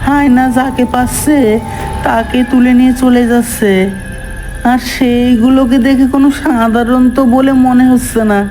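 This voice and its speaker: A man's voice: extremely high pitch, little pitch movement, normal speed, warm tone, rough recording. A man plays a worried villager in a cartoon. He sounds plaintive and anxious as he pleads, with light music in the background. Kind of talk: cartoon character acting.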